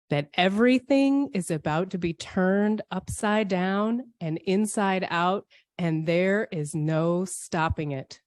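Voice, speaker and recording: Female church speaker, lowish voice, unhurried scripted reflection with poetic, deliberate phrasing. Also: darkish tone, dry recording, studio quality.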